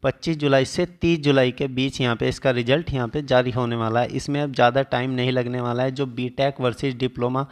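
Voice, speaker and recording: A man's voice: mid-range voice, fairly even intonation, fairly quick, few pauses. A man speaking as a coaching teacher, explaining an exam update step by step and listing figures.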